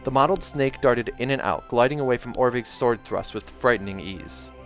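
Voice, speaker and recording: A male host reads fiction aloud in an expressive narrator's style and slips into casual chat. His pitch is average for a man. Soundtrack music plays behind him. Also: normal speed. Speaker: reader and channel host.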